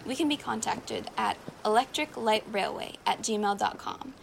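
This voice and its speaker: A woman's voice: fairly high pitch, normal speed, vocal fry, a little hiss or hum. A woman co-writer presenting scripted closing credits for a podcast.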